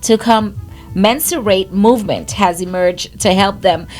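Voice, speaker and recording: A woman's voice: medium pitch, measured pace, a little mellow, soft background music, rough recording. A woman from Guyana with a Guyanese accent, co-hosting a podcast in casual chat. She laughs often and restarts her phrases. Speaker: podcast co-host.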